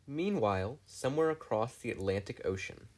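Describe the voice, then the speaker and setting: A podfic narrator reading fiction aloud in an animated, scripted storytelling style. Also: mid-range voice, normal speed.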